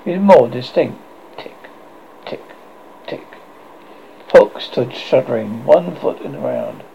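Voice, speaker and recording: A man's voice: mid-range voice, huge pitch swings, slow, creaky, dark tone. A man reading a children's storybook aloud, stumbling and restarting often. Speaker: audiobook reader. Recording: dull lo-fi recording with faint noise.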